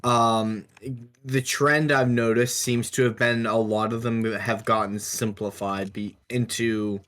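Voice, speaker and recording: A man's voice: medium pitch, little pitch movement, a little slow, a bit bright. A man co-hosting a podcast, talking in a casual discussion style. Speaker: podcast co-host.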